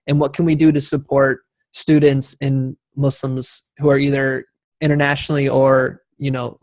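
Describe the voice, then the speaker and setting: A man with a somewhat high voice moderates a live discussion. His intonation stays flat and level as he hands over to panelists and relays questions.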